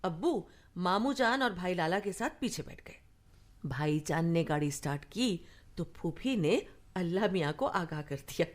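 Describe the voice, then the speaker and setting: A woman's voice: fairly low pitch, lively intonation, normal speed, lightly acted. A woman acting in a radio play as a cheerful grown woman fondly recounting her childhood family tales. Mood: amused storytelling. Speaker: radio drama actor. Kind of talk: conversational radio play storytelling.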